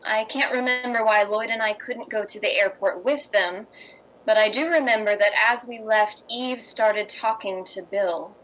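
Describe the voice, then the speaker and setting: A woman's voice: medium pitch, fairly even intonation, normal speed, a bit bright, lightly acted, low-quality recording. A woman acting a polite, sociable society lady in a 1950s-style radio drama. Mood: reflective.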